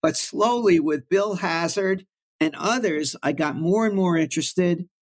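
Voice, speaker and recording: An older man from Brooklyn, telling stories about his career in a warm, reflective way as an interview guest. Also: sits high, measured pace. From Brooklyn, New York. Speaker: guest oncologist. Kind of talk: storytelling in an interview.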